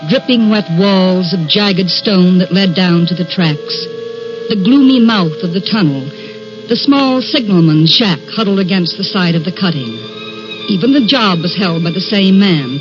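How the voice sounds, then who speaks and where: A woman's voice: medium pitch, lightly acted. An actress playing a curious, self-possessed young woman writer in an old-time radio drama, speaking articulately and theatrically. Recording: dull vintage radio recording with music.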